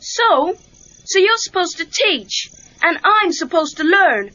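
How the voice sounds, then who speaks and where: A woman's voice: very high-pitched, lively intonation, slow, very noisy, heavily acted. A woman playing an eager, impatient teenage boy pupil in a children's audio drama, speaking informally and cheekily. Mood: indignant. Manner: informal, protesting, exclamatory. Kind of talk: children's read-along audio drama acting. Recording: dull lo-fi cassette tape.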